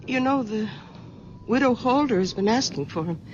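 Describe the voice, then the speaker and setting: A woman's voice: a little high, expressive, moderate pace, natural voice. An actress playing a devoted, anxious daughter in her forties, talking earnestly with nervous stammers in an old radio drama. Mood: worried. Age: 40s. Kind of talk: 1970s radio drama acting. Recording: muffled old radio broadcast with loud hiss.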